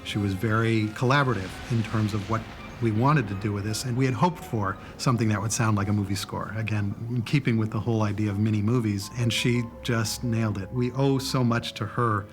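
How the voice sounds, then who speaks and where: A man with a somewhat low voice speaks as a producer in a documentary interview. Music plays clearly underneath. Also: fairly even intonation, a little fast.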